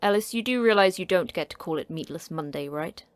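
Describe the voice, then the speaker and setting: A terse, hard-nosed female boss, played by a woman, who speaks in blunt, clipped, sardonic lines. Her voice sits a little low, and the recording is dry and close, with no room echo. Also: animated, a little fast, natural voice. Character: terse, tough female facility manager.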